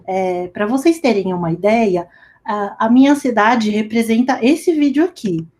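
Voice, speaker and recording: A young woman with a fairly high voice talks casually into a remote call. She speaks in loose, chatty runs and leans on little fillers as she goes. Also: moderate pace, a little mellow, a little hiss or hum. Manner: chatty, lots of fillers like "sabe" and "tipo". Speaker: speaker at a company talk. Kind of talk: casual personal talk. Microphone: remote call recording.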